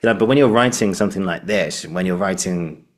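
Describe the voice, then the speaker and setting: Male podcast host, low-pitched, conversational, halting and roundabout in phrasing. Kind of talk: casual interview conversation.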